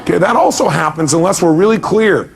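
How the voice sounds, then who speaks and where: A man's voice: high-pitched, a little fast, creaky, a faint crowd. A man speaking as a motivational seminar speaker, emphatic, putting rhetorical questions to his audience and often answering them himself.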